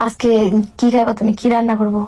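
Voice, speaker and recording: A woman's voice: on the high side, flat delivery, quick pace, warm tone, poor audio. A woman in a casual phone conversation, answering in a reassuring, brief way.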